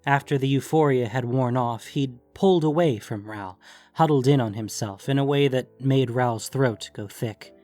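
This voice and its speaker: A man narrating scripted fiction in a measured, deliberate storytelling manner. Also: mid-range voice, a little mellow, faint music behind.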